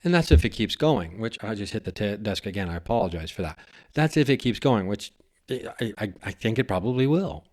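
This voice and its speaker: A man hosting a podcast in a casual, reflective monologue, musing haltingly and stretching out phrases for emphasis. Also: fairly low pitch, very animated, darkish tone. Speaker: podcast host and stand-up comedian.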